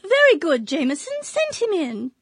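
A proper victorian auntie, around thirty, high lively voice, fond and earnest, radio drama. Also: female voice, moderate pace, no room sound, full character voice. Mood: flustered, pleased. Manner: refined, earnest, fondly advising. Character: affectionate thirty-year-old widowed Victorian English aunt.

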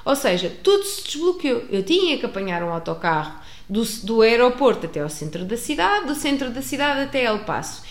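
A woman with a European Portuguese accent telling a personal travel story to an audience, expressive, with many restarts. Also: on the high side, brisk. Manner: expressive, acts out dialogue, frequent restarts. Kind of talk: animated storytelling. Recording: roomy echo.

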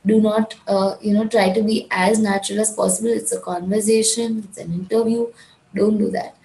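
Gujarati-accented woman tutor, gravelly voice. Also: mid-range voice, flat delivery, normal speed, dark tone, echoey room, low-quality recording. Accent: Gujarati Indian English. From India. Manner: firm, direct, repeats points for emphasis. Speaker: IELTS tutor. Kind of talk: instructional talk.

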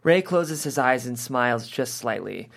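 A man's voice: medium pitch, lively intonation, measured pace, creaky. A man narrating scene headings and stage directions at a script table read.

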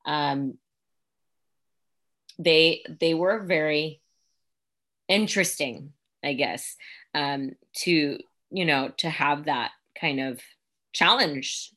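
A woman's voice: sits low, extremely slow, long pauses, fairly bright tone. A young woman from the United States telling a personal story in a casual conversation, reflective and full of fillers. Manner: reflective, uses many fillers like "like" and "um". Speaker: guest.

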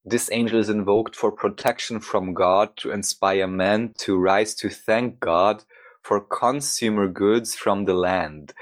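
Man, slightly deep voice, podcast co-host, scripted presenting, reading aloud.

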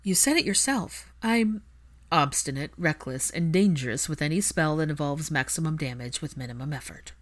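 A woman's voice: low voice, expressive, normal speed. Lady acting a witty young woman, stubborn, assertive, bit teasing, storybook dialogue. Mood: determined.

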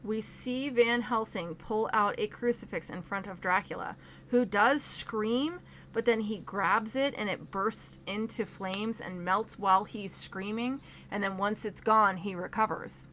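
A woman's voice: mid-range voice, measured pace, pushed and bright, a little hiss or hum. A young woman casually reviewing a film, going topic by topic in a chatty way with frequent fillers.

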